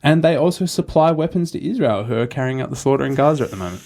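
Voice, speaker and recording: A man's voice: medium pitch, lively intonation, fast talker, a little mellow. A man co-hosting a casual political podcast, making careful, hedged points. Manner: speculative, hedging, frequent "look" and "yeah". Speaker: podcast co-host.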